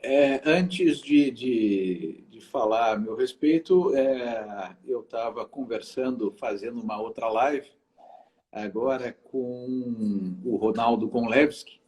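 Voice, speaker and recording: A man's voice: medium pitch, slow pace, a little mellow. A middle-aged man from southern Brazil, a lawyer and lecturer, talking as an interview guest with frequent hesitations and restarts. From Rio Grande do Sul, Brazil. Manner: hesitant, many filler sounds and restarts. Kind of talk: casual conversational interview.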